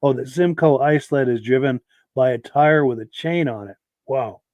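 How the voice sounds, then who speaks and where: Hoarse guy hosting a podcast, easygoing, lots of yeahs, dry close sound. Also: medium pitch, moderate pace, no echo. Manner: relaxed, chatty, affirming with yeahs. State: hoarse, losing his voice. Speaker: podcast host. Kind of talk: casual podcast chat, reading viewer comments.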